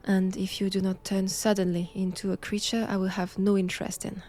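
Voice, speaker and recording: A woman with a French accent playing a stern, cold vampire-hunting nun in an intimate audio roleplay, measured and commanding. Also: medium pitch, little pitch movement, darkish tone, natural voice. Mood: clinical, controlled.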